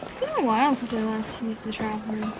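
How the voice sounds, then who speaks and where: A woman's voice: fairly high pitch, fairly even intonation, quick pace, background noise. A woman giving casual gameplay commentary as a game streamer.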